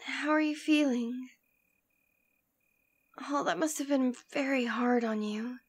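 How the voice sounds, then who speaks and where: High-voiced demon queen, acted by a woman. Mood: tender, concerned. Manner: theatrical, intimate, deliberate. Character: demon queen, imperious and possessive, secretly tender lover. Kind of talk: scripted ASMR roleplay.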